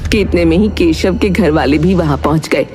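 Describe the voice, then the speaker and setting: A woman's voice: low-pitched, a little fast, darkish tone, faint music behind. A woman giving scripted narration for a dramatic story.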